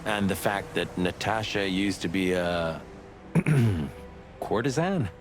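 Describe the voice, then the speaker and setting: A man's voice: low-pitched, animated, takes it slowly, faint music behind. A man playing a loyal, hot-headed rooster cop in a noir game, wisecracking and blustery. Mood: wry, thoughtful. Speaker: detective's partner. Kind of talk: film noir game voice acting.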